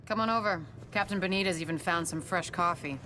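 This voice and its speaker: A woman's voice: on the low side, brisk, sharp tone, faint music behind. A woman acting as a determined, weary government field agent in a video game, earnest and resolute. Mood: businesslike. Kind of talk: dramatic game cutscene acting. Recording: clear background ambient noise. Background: ambient noise.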